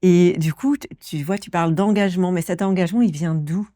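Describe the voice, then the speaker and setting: A woman interviewer with a fairly low, mellow voice asks questions in an enthusiastic, casual way. She often hesitates mid-phrase. The sound is dry and close, with little room echo. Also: brisk. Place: improvised studio in a concert hall dressing room.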